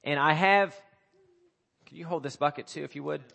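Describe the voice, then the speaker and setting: A man serving as pastor, preaching to his congregation with a scratchy voice from a coming cold, talking haltingly with many fillers and restarts. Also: a little high, creaky, pushed and bright. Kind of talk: sermon. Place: church sanctuary.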